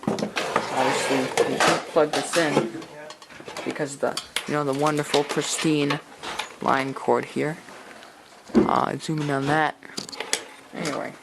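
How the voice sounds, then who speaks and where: A man giving casual, halting repair commentary with muttered asides, as a hobbyist working at a bench. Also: a little high, little pitch movement. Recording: clearly noisy workshop recording with clicks.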